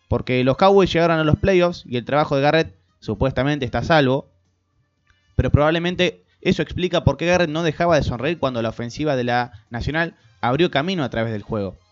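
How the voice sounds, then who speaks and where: A man's voice: fairly high pitch, fairly quick, faint music behind. A man co-hosting a casual sports podcast, reading statistics steadily with frequent "eh" fillers, with an Argentine Spanish accent. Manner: lists numbers steadily with many fillers. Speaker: podcast co-host. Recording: muffled lo-fi podcast audio.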